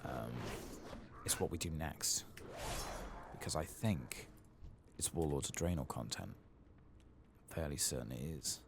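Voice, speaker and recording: A man giving soft-spoken ASMR commentary as he plays a game, in a hushed murmur. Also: extremely low pitch, measured pace, vocal fry, pauses often, background noise. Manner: hushed, murmured, occasionally whispering. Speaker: gaming channel host.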